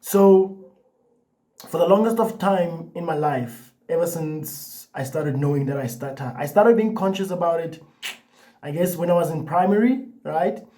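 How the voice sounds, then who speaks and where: A man's voice: a little high, moderate pace, mellow. A young man talking casually to the camera, with a stutter that brings repeated words and restarts, his speech loose and full of "like" and "you know". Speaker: vlogger.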